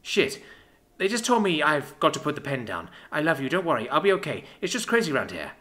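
A man's voice: fairly high pitch, sing-song, brisk, a bit bright. A man voicing a tired, embarrassed young male recruit who speaks in a plain, sheepish way. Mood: weary.

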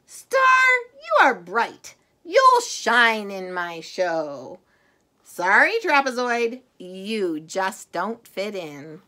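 A woman's voice: mid-range voice, sing-song, extremely slow, pushed and bright, pitched below the performer's own voice, full character voice. A woman voicing a bossy triangle who directs a space show in a children's storybook, firm and commanding. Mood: decisive.

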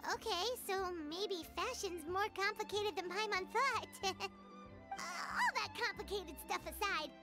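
A woman voicing a tiny, perky, curious childlike fairy companion in a video game, squeaky and exclamatory. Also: measured pace, over music. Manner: refers to self in third person, exclamatory.

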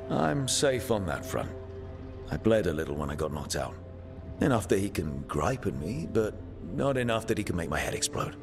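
A blunt young hero who speaks in a matter-of-fact way in a fantasy game. His voice sits low. Clear music plays behind him. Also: big pitch swings, moderate pace. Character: blunt young man hero, team leader. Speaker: player character. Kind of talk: video game voice acting.

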